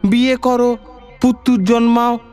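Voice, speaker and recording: A man voicing a tiny, desperate ancestor spirit with a strained, shrill voice, pleading in rustic speech in an audio story. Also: steady tone, measured pace, darkish tone, clean tone, faint music behind.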